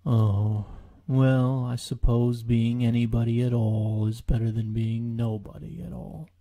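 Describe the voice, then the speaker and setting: A man voicing a sad, gloomy gray donkey in a storybook, slow, doleful and resigned. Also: medium pitch, level tone, mellow, natural voice. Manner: slow, doleful, sighing delivery.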